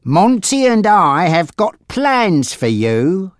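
A narrator voicing a menacing, taunting male gangster boss in an audiobook story, with a threatening, drawn-out delivery. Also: medium pitch, sing-song, dry recording, studio quality, voice pushed up. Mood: taunting menace.

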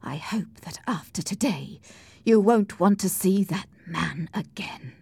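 A woman voicing a stern, proper gentleman husband who speaks in a formal, commanding way in an audiobook story. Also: medium pitch, animated, unhurried, vocal fry, breathy edge, dry recording, full character voice. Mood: demanding. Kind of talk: audiobook character acting.

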